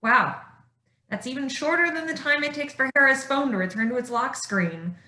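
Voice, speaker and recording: A woman's voice: high-pitched, animated, normal speed, sharp tone, roomy sound. A woman reading the part of a defiant, sardonic woman fighter in a staged play reading. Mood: exasperated.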